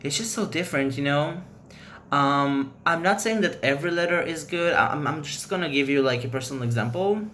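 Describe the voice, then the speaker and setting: A man's voice: on the high side, a little fast, sharp tone, a little hiss or hum. A man whose first language is Hebrew, chatting casually to camera as a vlogger, rambling loosely and giving frank opinions.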